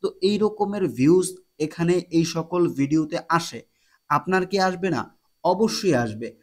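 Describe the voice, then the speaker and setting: A man narrates a tutorial. His voice sits a little high. He explains things directly to viewers as the host. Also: expressive, moderate pace, a little mellow.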